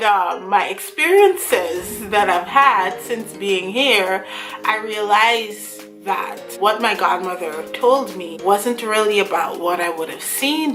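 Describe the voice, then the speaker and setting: A woman's voice: medium pitch, a bit bright, low-quality recording. A young woman from Guyana, talking earnestly and chattily to camera while reading from her notes. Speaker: vlogger. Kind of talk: casual talk to camera. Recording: music playing under voice. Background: background music.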